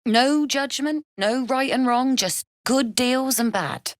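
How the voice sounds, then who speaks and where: A woman's voice: sits high, slow, no room sound, studio quality. An actress playing a shrewd, amoral female smuggler-merchant in a video game, with a sly, businesslike manner. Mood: wry, cool. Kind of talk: video game character acting.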